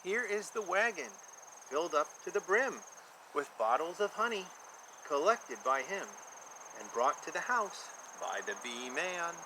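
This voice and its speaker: A man in his fifties reads a picture book aloud in a lively, slightly high voice that swings up and down. He explains things simply and emphatically, repeating himself for young children. He is recorded outdoors, with clear background noise and insect chirps. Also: unhurried, low-quality recording. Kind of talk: read-aloud storytelling with explanatory asides. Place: outdoor backyard beside a beehive. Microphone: camera at about arm's length.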